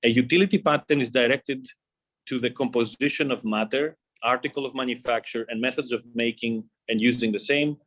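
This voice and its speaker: A man presenting as a company executive on an earnings call, reading scripted material in a formal, measured way, phrase by phrase. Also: medium pitch, fairly even intonation, a bit bright.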